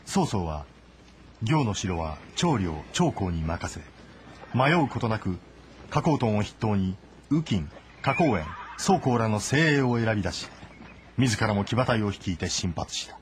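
A man's voice: on the low side, very animated, unhurried, slight background noise. A man giving dramatic scripted narration for a historical game, as its narrator.

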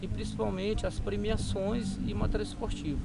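Gravelly middle-aged man, noisy recording. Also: high-pitched, little pitch movement. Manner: halting, with repeated phrases. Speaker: amateur sports organizer being interviewed. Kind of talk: informal interview answers. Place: open-air football ground. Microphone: camera at close range. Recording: very noisy outdoor camera recording.

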